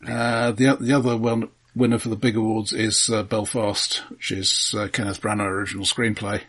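A man co-hosting a casual film podcast, talking in a measured, analytical and somewhat hesitant way. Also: on the low side, little pitch movement, a bit bright, husky. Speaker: podcast co-host.

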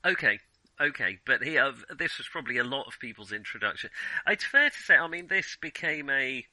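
A man hosting a podcast, talking casually about film in a halting, hesitant way. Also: medium pitch, animated, sharp tone, no echo, rough recording. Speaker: podcast host.

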